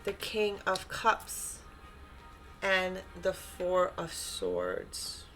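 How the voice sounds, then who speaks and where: A woman with a blocked, stuffy nose speaks slowly and unhurriedly, her voice sitting a little low. She lays out tarot cards with soft reassurance, with clear music playing behind her. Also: very animated, poor audio. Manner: gentle, reassuring, often checks in with "okay?". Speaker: tarot reader. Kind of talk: unscripted spiritual tarot reading.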